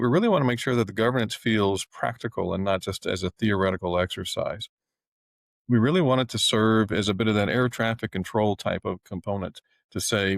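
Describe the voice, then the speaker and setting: A man giving an explanatory business talk as an advisor, speaking in a measured, articulate way. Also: fairly low pitch, creaky, dry recording, clean studio sound. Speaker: family office advisor.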